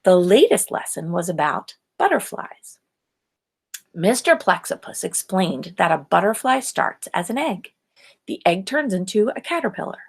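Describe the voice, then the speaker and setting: A woman reading a children's story aloud in a deliberate, expressive and emphatic way. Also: on the low side, creaky, husky. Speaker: storybook reader.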